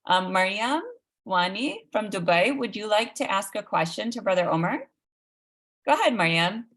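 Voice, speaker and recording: A woman's voice: medium pitch, animated, moderate pace, a bit bright. A woman hosting a live online event for children, talking informally and directly to listeners as she makes announcements. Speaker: host of an online read-aloud event.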